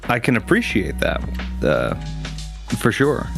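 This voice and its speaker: A man's voice: medium pitch, wildly swooping pitch, takes it slowly, vocal fry, music in the background. A man hosting a gaming stream, chatting casually with viewers in a rambling way, full of restarts and fillers.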